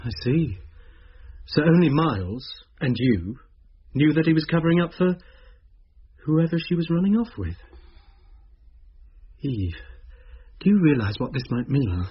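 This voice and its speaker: A very husky British man, earnest and probing, with a widely moving voice. He gently presses for the truth in a radio drama, on a slightly muffled recording. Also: on the high side, big pitch swings, unhurried, long pauses, full character voice. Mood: probing concern.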